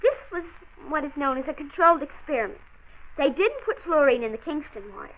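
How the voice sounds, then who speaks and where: A high, very animated girl's voice from a thin, narrow-band 1940s radio play. She is a precocious granddaughter who argues with pert confidence and recites facts in formal debating style. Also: a little fast. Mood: confident, reciting. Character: precocious, confident twelve-year-old granddaughter, pert and argumentative. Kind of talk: 1940s radio drama acting. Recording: band-limited old 1940s radio recording.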